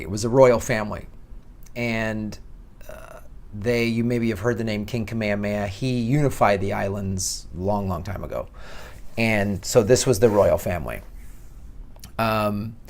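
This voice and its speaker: A man with a Midwestern accent giving a casual, sarcastic commentary on history as he reads from an article. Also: fairly low pitch. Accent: Midwestern American. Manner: chatty, sarcastic asides with laughs. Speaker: comedian and political commentator. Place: outdoors in Hawaii.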